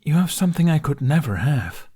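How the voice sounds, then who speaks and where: A man's voice: fairly high pitch, sing-song, fairly quick, dark tone, breathy edge, pitched above the performer's own voice. Earnest, emphatic dragon, voiced by a man. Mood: frustrated, urgent. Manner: emphatic, insistent. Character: earnest, protective male dragon, fiercely loyal and exasperated.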